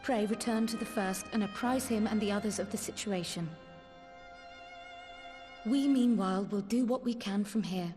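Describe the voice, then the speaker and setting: An actress playing a composed, resolute scholarly woman in a fantasy game, speaking in a formal, measured manner. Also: medium pitch, a little mellow, natural voice. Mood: earnest, determined. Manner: formal, measured, archaic diction. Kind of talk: video game cutscene acting. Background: music.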